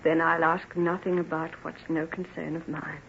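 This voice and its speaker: An actress playing a devoted, self-effacing plain woman in love, emotional and halting, in an old-time radio drama. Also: deep voice, level tone, a bit bright, a little hiss or hum. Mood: quiet, devoted. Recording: muffled, rough old radio recording.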